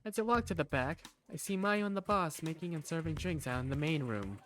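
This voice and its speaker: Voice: medium pitch, expressive, brisk. A narrator reading scripted first-person story narration for a game fandub. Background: background music.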